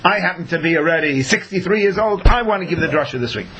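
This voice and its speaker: A man's voice: fairly high pitch, animated, fast, fairly bright tone, breathy edge, voice pushed up. A man voicing a condescending elderly senior rabbi in a lecture story, sprinkling in Yiddish as he brushes off a youngster. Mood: dismissive. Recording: noisy lecture recording.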